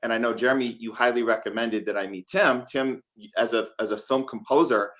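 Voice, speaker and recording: A man's voice: medium pitch, brisk, fairly bright tone. Male host, conversational interviewer, mid-range voice.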